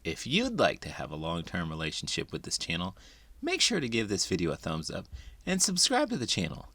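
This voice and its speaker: A man reading a children's picture book aloud in an expressive, dramatic way, as a host would for young listeners. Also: low voice, brisk, dry recording. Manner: expressive, dramatic delivery with big emotions. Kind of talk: animated read-aloud narration for children.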